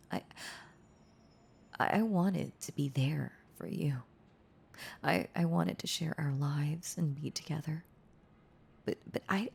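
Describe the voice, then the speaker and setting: A woman playing a heartbroken, tender former girlfriend in an intimate audio roleplay, confessing haltingly in a breathy, husky voice that slips into whispers. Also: sits low, a little mellow, exaggerated voice. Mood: pained, wistful. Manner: halting, confessional, repeating words, breaking into whispers.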